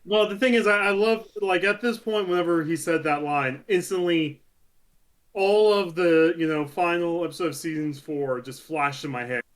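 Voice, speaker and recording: A man's voice: fairly high pitch, normal speed. A man co-hosting a casual review chat about an audio drama.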